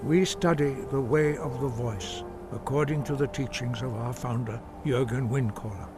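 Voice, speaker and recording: An actor playing a solemn old monk master in a video game, teaching in a measured, formal and reverent way. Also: male voice, mid-range voice, lightly acted. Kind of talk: scripted video game dialogue. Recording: game music loud behind. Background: game music.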